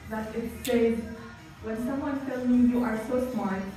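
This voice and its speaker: A woman in her thirties from Syria gives an earnest, somewhat halting talk to an audience, and her voice sits a little high. She is picked up from well back in a hall full of echo. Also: mellow. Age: 30s. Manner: earnest, slightly halting, with small slips and restarts. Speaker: student speaker at a symposium. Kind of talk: personal talk at an event. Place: lecture hall. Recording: echoey hall heard from a distance.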